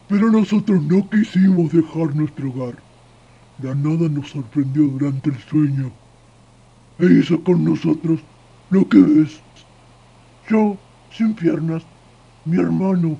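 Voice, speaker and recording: A man's voice: a little high, gravelly, warm tone, husky, exaggerated voice. A man playing a maimed, gloomy forest troll with grave delivery, in a radio drama. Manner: slow, grave. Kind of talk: Spanish-language radio drama acting. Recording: dull, muffled sound.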